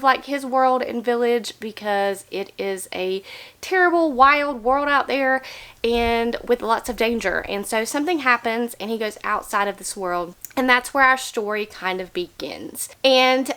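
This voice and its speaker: A young woman talks through her month of reading in a candid, chatty way. Her voice sits a bit high, and a cold leaves her slightly nasal. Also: normal speed, clean tone. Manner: chatty, candid, animated opener. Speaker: book vlogger. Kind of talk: casual chat about books.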